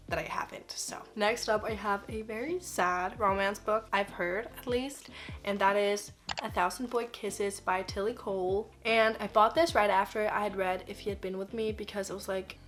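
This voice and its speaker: A woman's voice: medium pitch, a bit bright. An 18-year-old woman from Denmark, chatting casually to camera as a book vlogger, gushing and full of "like" fillers. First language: Danish.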